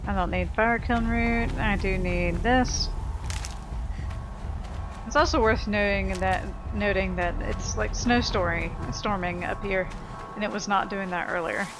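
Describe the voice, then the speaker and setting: A woman's voice: medium pitch, fairly even intonation, a little slow, over music. A woman giving casual commentary as she plays a video game, talking to herself and swearing offhandedly. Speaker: video game player recording a playthrough.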